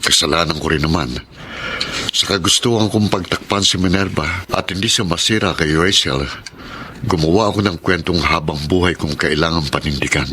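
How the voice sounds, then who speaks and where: A man's voice: deep voice, normal speed, bright, forceful tone, husky, full character voice. A man playing a patient, self-sacrificing middle-aged father with a Filipino accent in a radio drama. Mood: regretful, confiding.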